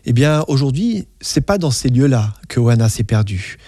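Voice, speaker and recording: A man's voice: mid-range voice, very animated, normal speed, mellow, no echo, studio quality. A man hosting a radio interview show, friendly and encouraging toward his guest. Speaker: radio host.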